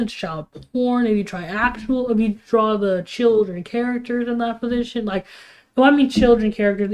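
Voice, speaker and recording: A woman's voice: medium pitch, darkish tone. A young woman, sick and sniffling, ranting on a casual livestream with heated, rambling talk full of restarts and fillers. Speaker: streamer.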